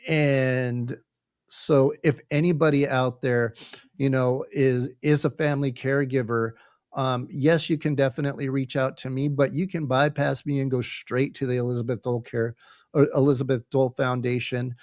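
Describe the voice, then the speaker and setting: Halting, earnest man in a dry-sounding recording. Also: mid-range voice, level tone, dry recording. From United States. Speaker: podcast guest, family caregiver. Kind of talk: personal interview talk, earnest.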